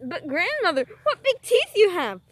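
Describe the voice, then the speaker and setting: Storybook little girl, timid and eager, squealing high voice, put on by a woman. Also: big pitch swings, moderate pace, fairly bright tone, full character voice. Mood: wondering.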